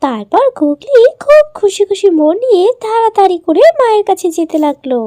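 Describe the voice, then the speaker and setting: A woman's voice: extremely high pitch, big pitch swings, a little fast, mellow, no echo, studio quality. A woman narrating a scripted children's story.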